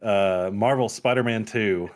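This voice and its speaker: A man hosting a casual gaming podcast, keeping the talk moving in a relaxed way. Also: lowish voice, unhurried.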